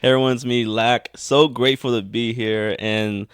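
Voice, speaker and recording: A man's voice: medium pitch, fairly even intonation, normal speed, clear, resonant tone, dry recording, studio quality. A man co-hosting a podcast, chatting casually in an appreciative, easygoing way. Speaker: podcast co-host.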